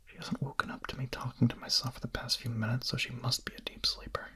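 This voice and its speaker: Guy playing a nervous lovesick young vampire guy, asmr whispering, really breathy n husky, hungry and hesitant. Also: mid-range voice, little pitch movement, bright, forceful tone. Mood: furtive, yearning. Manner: hesitant, halting, confessional.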